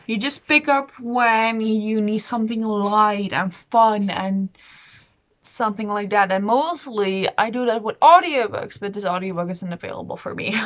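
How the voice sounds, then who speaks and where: Chatty, rambling woman vlogger, slightly dull sound. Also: medium pitch. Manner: enthusiastic, rambling, self-deprecating. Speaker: book vlogger. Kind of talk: casual chatty monologue to camera.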